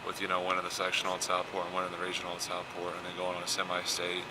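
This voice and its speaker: A young American man with a low, very monotone voice gives casual interview answers. He talks quickly, doubling back on words, with clear gym noise in the background. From Indiana. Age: 20s. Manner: repeats words, frequent "you know". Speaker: college basketball player. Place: basketball fieldhouse.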